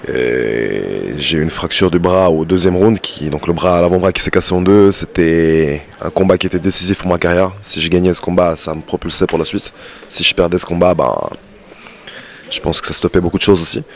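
A man with a very low voice talks about his fight team, pausing often with fillers. He speaks into a handheld mic in a curtained indoor lounge. His tone stays low and steady. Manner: hesitant, many fillers. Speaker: fight team head coach. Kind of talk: group interview. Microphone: handheld mic with windscreen.

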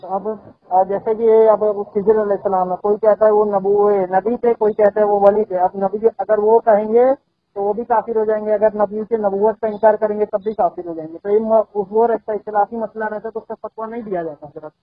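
A man on a recorded phone call in a conversational religious debate, speaking in a halting, hesitant and conciliatory way. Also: high-pitched, flat delivery, no room sound. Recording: thin, band-limited phone line.

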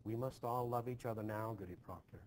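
A man's voice: on the low side, fairly quick, a little mellow, lightly acted. Man reading as a timid, shaken Puritan servant girl. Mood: tearful, fearful. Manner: meek, pleading, archaic phrasing. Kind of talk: expressive play reading. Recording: noisy home recording.

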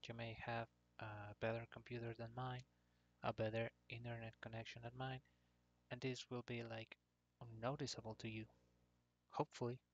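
Halting, monotone man with dull lo-fi sound. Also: medium pitch. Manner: halting, hesitant delivery in short phrases. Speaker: tutorial presenter. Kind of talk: informal software tutorial narration. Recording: dull lo-fi computer microphone.

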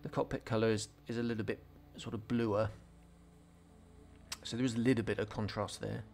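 A man's voice: on the low side, flat delivery, fairly quick, gravelly, a little mellow, slight background noise. A man hosting a scale modelling tutorial, talking casually through each step with frequent ums and restarts. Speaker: scale modelling channel host. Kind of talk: relaxed hobby tutorial voice-over.

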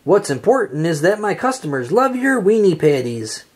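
A reader as husky, bossy cartoon restaurant manager. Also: mid-range voice, very animated, a little mellow. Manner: bossy, brisk. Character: bossy male weenie restaurant manager creature.

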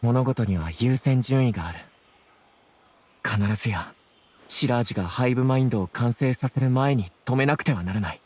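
A man's voice: mid-range voice, moderate pace, darkish tone, faint music behind, lightly acted. A man playing an earnest, troubled young scholar with polite speech in a Japanese game. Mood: resolute. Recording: clear background noise, insect ambience.

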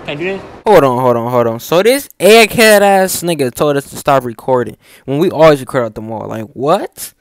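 A man's voice: fairly high pitch, animated, moderate pace. A young man hosting a casual public interview in a park and a mall, talking into a handheld mic in heavy slang, with music behind him. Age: young adult. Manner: slangy, keeps saying "you know what I'm saying". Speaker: street interviewer, channel host. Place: outdoors in a park and inside a shopping mall. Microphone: handheld microphone. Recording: background music over it.

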